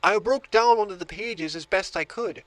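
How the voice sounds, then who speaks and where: An actor playing an obsessive book collector who grows entranced, in a horror audio drama. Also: male voice, high-pitched, moderate pace, fairly bright tone, no room sound. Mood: intrigued, obsessive. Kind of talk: audio drama monologue and dialogue.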